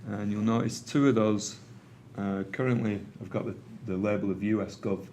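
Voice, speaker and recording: Middle-aged man, Manchester accent, low voice, presenting to a room, faint background hum. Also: fairly even intonation, normal speed, darkish tone. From England. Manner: conversational, with frequent ums and uhs. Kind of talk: conference presentation. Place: meeting room with an audience.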